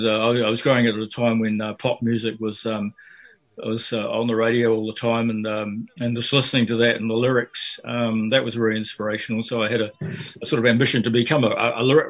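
A man's voice: lowish voice, creaky. An older man from Fiji and New Zealand, a poet answering in a radio interview, talking hesitantly with many fillers and restarts.

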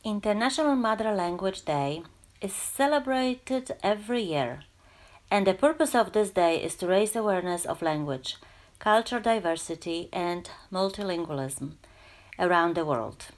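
A woman's voice: medium pitch, expressive, moderate pace. A woman hosting a community radio show, reading facts and then asking guests about learning a language. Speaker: radio host. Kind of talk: scripted radio talk.

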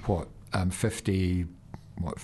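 A man's voice: low voice, fairly even intonation, slow pace, warm tone, slight background noise. An older man from Glasgow with a Glaswegian Scottish accent, chatting casually and reminiscing on a radio show. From Glasgow, Scotland. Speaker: radio show guest and presenter.

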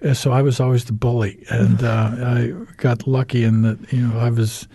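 Man, 50s, American accent, halting rambling storyteller, mellow tone, dry close sound. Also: medium pitch, level tone, gravelly, breathy edge, no room sound. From United States. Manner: rambling, halting, many ums and uhs, wry humor. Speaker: radio show guest. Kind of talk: candid storytelling interview.

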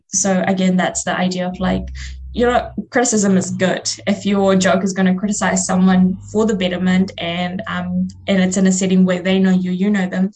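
A woman's voice: medium pitch, a little mellow. Woman radio host, native Fiji Hindi speaker. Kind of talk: casual reflective discussion.

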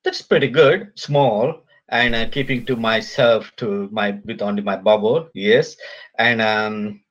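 A man's voice: on the low side, steady tone. Guy from sri lanka, lecturer, lots of ums and restarts, kinda muffled lo-fi sound. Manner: halting, many fillers and restarts. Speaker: guest, polytechnic lecturer. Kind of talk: casual interview over a remote call.

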